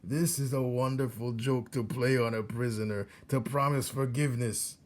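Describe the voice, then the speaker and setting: A man's voice: medium pitch, steady tone, normal speed, darkish tone, a little hiss or hum, voice pushed up, lightly acted. A man reads as an ancient storybook rat who delights in cruelty. He lectures with relish, his voice lifted above a narrator's and leaning hard on key words, sly and self-satisfied. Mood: sly lecturing. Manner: lecturing, relishing each word with emphatic stresses. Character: very old, gleefully cruel one-eared male dungeon rat.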